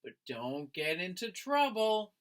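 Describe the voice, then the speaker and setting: A man voicing a kindly, easygoing male guardian in a children's storybook, with gentle drawn-out delivery. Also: high-pitched, sing-song, heavily acted.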